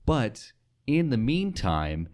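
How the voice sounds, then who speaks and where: A man's voice: medium pitch, big pitch swings, slow pace, darkish tone. A man with an American accent talking casually as an interviewer on a conversational show.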